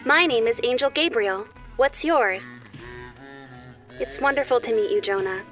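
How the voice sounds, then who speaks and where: A woman plays a nurturing angel from a children's teaching cartoon. The voice sits a little high and is soothing and instructive, talking directly to a young viewer. Soft music runs behind it. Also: expressive, a little slow. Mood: kindly, encouraging. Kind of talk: children's educational show dialogue. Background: background music.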